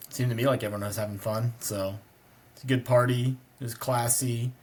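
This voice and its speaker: A man co-hosting a sports podcast, hoarse and congested, talking in a relaxed, rambling way. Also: mid-range voice, brisk. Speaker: podcast co-host. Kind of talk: casual sports chat.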